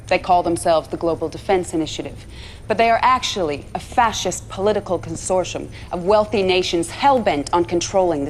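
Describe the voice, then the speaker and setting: An actress playing a zealous propagandist for a militant cult, narrating a game cutscene in an earnest, measured way. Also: female voice, on the low side, darkish tone, a little hiss or hum, close to an everyday voice. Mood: reverent.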